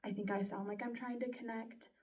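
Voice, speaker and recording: A young woman talks through her own performance in a casual, earnest spoken reflection. Her tone is soft and mellow, and she leans on easy fillers like "so" and "like." Her voice sits a little back from the microphone. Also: on the high side, steady tone, a little fast, distant mic. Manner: chatty, earnest, lots of likes and sos. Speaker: broadcasting student.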